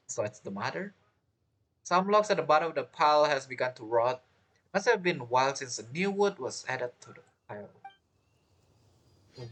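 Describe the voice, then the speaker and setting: A man giving casual game commentary and reading text aloud in a halting way, reacting as he goes. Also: on the high side, fairly bright tone, rough recording. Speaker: gaming streamer.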